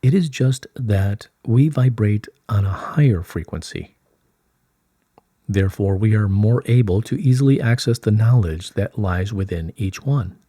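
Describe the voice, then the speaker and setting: A man reading as a serene collective of higher light beings, in a stately, formal, measured manner. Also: animated, mellow, husky, no echo, studio quality. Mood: serene, explanatory. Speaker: reader of channelled messages. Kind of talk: formal, scripted channelled-message reading.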